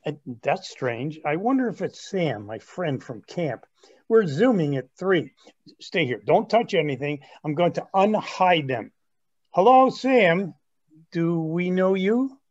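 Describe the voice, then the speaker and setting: In slightly lo-fi sound, a self-absorbed grandson in his twenties played by a man talks with eager earnestness. His intonation is very lively, suited to a comic stage reading. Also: a little high, takes it slowly, heavily acted. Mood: earnest. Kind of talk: reader's theatre comedy.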